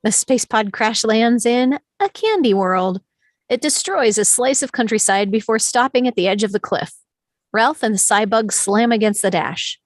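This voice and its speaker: A woman reading scripted stage directions as narrator at an animated film table read. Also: fairly high pitch, moderate pace, no room sound, clean studio sound.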